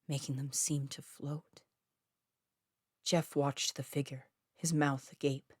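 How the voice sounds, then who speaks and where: A woman's voice: sits low, a little mellow, no echo, clean studio sound. A woman reading her own eerie short story aloud as scripted narration.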